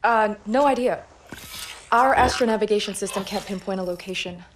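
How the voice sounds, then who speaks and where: An actress playing a brisk, inquisitive professor in a video game cutscene, with a matter-of-fact manner. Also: female voice, mid-range voice, close to an everyday voice. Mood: urgent, intrigued. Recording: clear background noise.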